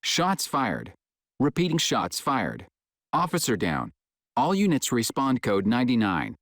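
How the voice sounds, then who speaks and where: Performer acting as police officer on radio, clipped urgent calls, highly animated pitch, dry sound. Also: unhurried, dry recording. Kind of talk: scripted police radio traffic.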